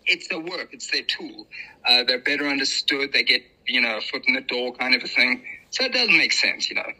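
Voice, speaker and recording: Very bright-voiced South African man. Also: medium pitch, expressive, a little fast, low-quality recording. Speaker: podcast guest. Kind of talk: casual conversation.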